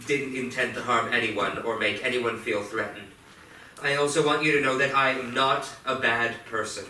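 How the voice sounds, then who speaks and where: A man in a staged reading playing a remorseful teenage boy, speaking earnestly and deliberately. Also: medium pitch, bright, forceful tone. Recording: echoey theatre, far mic, faint noise.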